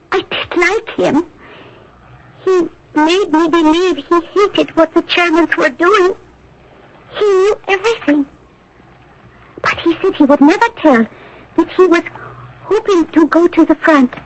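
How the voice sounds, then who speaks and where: High-voiced anguished young resistance woman. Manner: earnest, dramatic, emotionally strained. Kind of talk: radio drama acting. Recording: noisy old radio broadcast recording.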